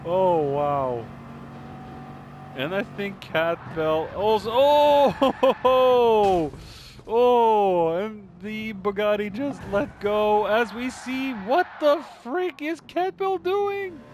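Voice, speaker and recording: A man with a high-pitched voice calls a race live over clear vehicle noise. He is informal and exclamatory, with hesitations between phrases. His voice sounds strained, as if it is starting to give out. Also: takes it slowly, darkish tone. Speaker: esports racing commentator. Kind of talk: excitable live race commentary.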